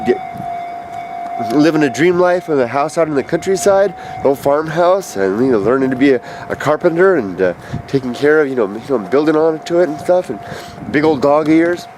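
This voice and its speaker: Stuffed-up fifties man, casual hesitant vlogger, recorded outdoors with clear traffic noise. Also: fairly high pitch, lively intonation, darkish tone. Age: 50s. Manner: rambling, halting, with many fillers and restarts. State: stuffed up with allergies. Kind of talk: casual video message. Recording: noisy outdoor street recording.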